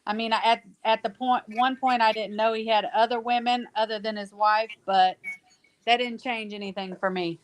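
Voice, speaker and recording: An easygoing woman from Ohio talks through her stage role in a casual podcast chat. Her voice sits a little high, and she rambles and laughs as she goes. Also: steady tone, normal speed, smooth. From Ohio, United States. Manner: laughing, loose storytelling. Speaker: podcast guest, cast member.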